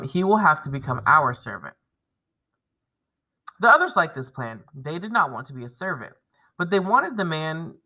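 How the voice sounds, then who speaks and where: A man's voice: fairly high pitch, sharp tone, low-quality recording. A man in his thirties teaching a reading lesson to children, explaining and reading aloud with emphasis. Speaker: elementary school teacher.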